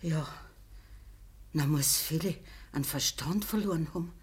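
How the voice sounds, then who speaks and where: A woman playing a dying, middle-aged peasant mother in a radio play, humble and sorrowful, speaking halting Bavarian dialect in a weak voice. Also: sits low, full character voice. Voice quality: husky, weak. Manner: halting, deferential.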